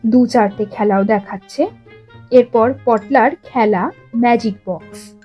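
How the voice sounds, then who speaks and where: Casting: female storyteller, high voice, leisurely expressive narration over soft music. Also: a little slow, slightly rough, highs cut off.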